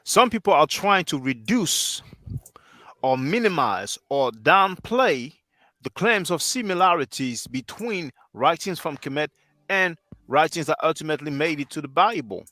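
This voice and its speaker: A man's voice: a little high, animated, unhurried, bright, forceful tone, no room sound. A man in his thirties whose first language is French, hosting a livestream and lecturing earnestly while answering chat on a headset mic. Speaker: livestream host.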